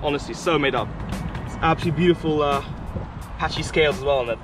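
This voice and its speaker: Excitable young man outdoors, music behind. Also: a little high. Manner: excitable, repeats himself, fast exclamations while reeling in fish. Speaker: angler vlogger. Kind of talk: excited outdoor fishing vlog. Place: outdoors by a lake.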